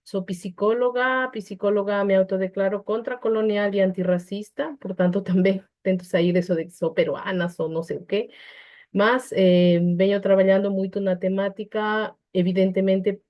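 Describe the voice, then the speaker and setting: Mellow Peruvian woman speaking reflectively. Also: mid-range voice, fairly even intonation, normal speed, highs cut off. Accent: Peruvian Spanish. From Peru. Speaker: psychologist and panel speaker. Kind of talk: personal testimony in an online panel discussion.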